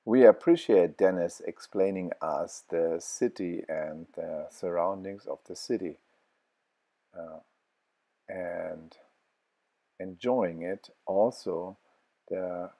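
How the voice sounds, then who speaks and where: Slow, deep-voiced man with halting speech. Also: mellow. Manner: halting, many fillers and restarts. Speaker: travel vlogger. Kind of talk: walking tour narration.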